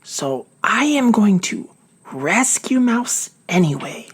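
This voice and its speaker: A gentle, loyal snake from a picture book, played by a woman reader. He speaks slowly and calmly in a breathy hush. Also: medium pitch, pitched above the performer's own voice, exaggerated voice. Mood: calm, resolute.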